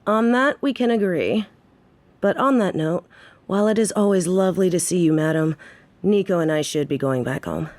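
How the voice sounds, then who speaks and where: Woman playing a courteous, lowish-voiced female gangster. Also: moderate pace, darkish tone, dry recording, natural voice. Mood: admiring, courteous. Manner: courteous, formal, eager to please. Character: loyal, deferential female gangster, confident and devoted. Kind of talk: scripted audio roleplay acting.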